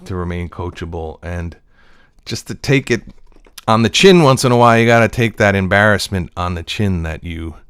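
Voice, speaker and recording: Man, voice actor, deep low voice, dry recording, casual wandering monologue. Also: moderate pace, a bit bright, clear, resonant tone, dry recording. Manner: digressive, reflective storytelling. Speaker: voice-over actor. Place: at a desk.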